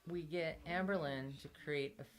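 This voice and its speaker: A woman's voice: sits low, normal speed. A woman talking casually as a player in a tabletop role-playing game. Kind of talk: casual chat.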